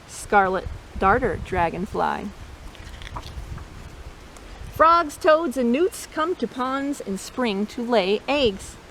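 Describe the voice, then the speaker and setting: A woman reading a nature storytime for children as host, playful and expressive, often asking her listeners questions. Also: on the high side, slight background noise, low-quality recording. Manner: expressive, playful, asks listeners questions, imitates animal sounds. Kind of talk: read-aloud children's nature storytime with asides.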